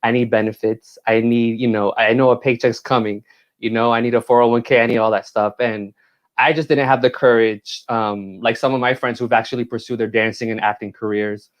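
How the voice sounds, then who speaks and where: A man's voice: medium pitch, fairly even intonation, highs cut off. A young man from New York, born in Puerto Rico, chatting playfully and campily as an interview guest on an earpiece mic. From born in Puerto Rico, raised in New York. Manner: playful and campy, quick to laugh. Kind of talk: casual interview chat.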